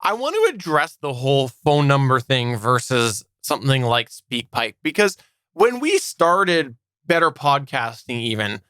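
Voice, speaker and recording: A man's voice: medium pitch, very animated, measured pace, sharp tone, clear, resonant tone, no room sound, studio quality. A man hosting a podcast in a casual, conversational discussion style. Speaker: podcast host.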